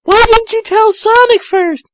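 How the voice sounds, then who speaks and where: A man voicing an excitable, easily shocked young female cartoon character in an exaggerated shrill falsetto. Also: measured pace, no room sound. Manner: exaggerated, dramatic.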